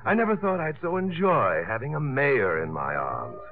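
An actor playing a smooth, flirtatious sculptor with a playful, hesitating manner, in 1950s American radio drama style. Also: male voice, mid-range voice, very animated, moderate pace. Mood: playful, suave. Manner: suave, playful, hesitating with uhs. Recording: tinny old radio broadcast, music behind.

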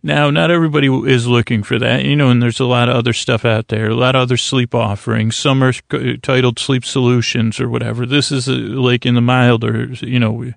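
A man with a creaky voice hosting a bedtime podcast in a rambling, halting, stuttering, self-deprecating monologue. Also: medium pitch, flat delivery, dry recording, studio quality. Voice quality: creaky, gravelly. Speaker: podcast host.

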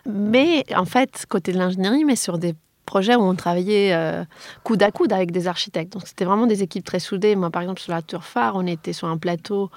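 A woman's voice: medium pitch, brisk, darkish tone, no room sound. A woman answering interview questions as an architect, telling her story in a conversational way. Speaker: interviewee, architect and agency co-founder.

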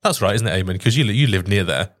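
A man's voice: low-pitched, rapid-fire. A man hosting a casual podcast, moving between topics and laughing easily with his guests. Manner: steers topics, laughs often, expressive.

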